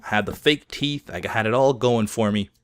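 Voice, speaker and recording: A man in his thirties, somewhat hoarse, telling chatty, self-deprecating stories while he plays a game. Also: lowish voice, normal speed, no echo. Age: 30s. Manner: chatty storytelling, self-deprecating, laughs often. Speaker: gaming video host. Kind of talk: casual gameplay commentary.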